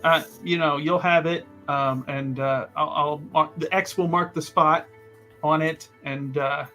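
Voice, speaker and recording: A man's voice: on the high side, steady tone, a little slow, bright, forceful tone, soft background music. A man playing a tabletop game who rambles, agrees readily and laughs often. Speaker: player. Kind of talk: casual tabletop chat.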